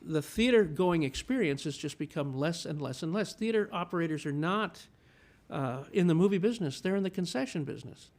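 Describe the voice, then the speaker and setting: A man in his fifties, born in Chile, talks with an eager, storytelling enthusiasm. His voice sits a touch high, and he often repeats a word or two as he gets going. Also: lively intonation, fairly quick, darkish tone. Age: 50s. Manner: enthusiastic storyteller, repeats words when starting. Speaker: film critic, guest. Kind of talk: casual interview conversation.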